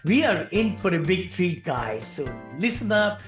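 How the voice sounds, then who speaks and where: A man's voice: high voice, very animated, unhurried, fairly bright tone, echoey room, faint music behind. A man hosting a podcast interview over a video call, effusive and encouraging, with lots of short affirmations. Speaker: podcast host. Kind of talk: enthusiastic interview chat.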